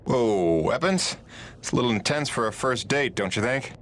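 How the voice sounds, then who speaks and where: A man's voice: medium pitch, lively intonation, moderate pace, fairly bright tone, slight background noise, lightly acted. An actor playing a plainspoken working-man hero in a video game, casual and dryly wry. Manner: casual, dry, wisecracking. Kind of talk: video game character dialogue.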